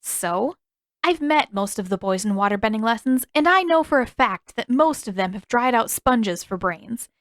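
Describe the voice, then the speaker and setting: Woman voicing a cheeky, high-pitched nosy young girl. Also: lively intonation, moderate pace, no echo, clean studio sound, lightly acted. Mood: nosy, challenging. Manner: needling, sing-song.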